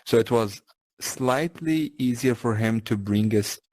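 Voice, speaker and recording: A young man with a Syrian Arabic accent, an Arabic speaker, talking about himself in a relaxed, casual way with lots of "you know.". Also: mid-range voice, unhurried, dry recording, low-quality recording. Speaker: guest. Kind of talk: casual conversation in VR.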